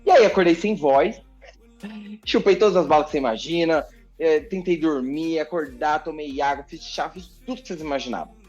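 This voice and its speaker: A man with a Brazilian accent, a TV journalist chatting as a podcast guest, telling a story in an animated, expressive way and repeating words for emphasis. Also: fairly high pitch, brisk, lo-fi sound, soft background music. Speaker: TV journalist and presenter, interview guest. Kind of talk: casual podcast interview storytelling.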